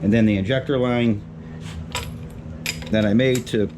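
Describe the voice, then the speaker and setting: A man's voice: medium pitch, fairly even intonation, unhurried. A man giving a casual hands-on how-to as a DIY mechanic, speaking in short muttered steps while he works. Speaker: DIY mechanic demonstrating a repair test. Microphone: handheld camera moved around while working. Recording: clanking tools and workshop noise.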